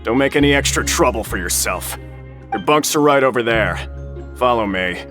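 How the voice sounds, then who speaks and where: Game casting: man as stern, curt prison guard, mid-pitched, commanding, light music behind. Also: expressive, normal speed, fairly bright tone, natural voice. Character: brusque, no-nonsense male prison guard. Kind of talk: video game character acting.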